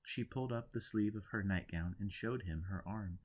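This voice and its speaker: Low-voiced man reading a story. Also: normal speed, darkish tone. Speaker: audiobook reader. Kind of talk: scripted dramatic story narration.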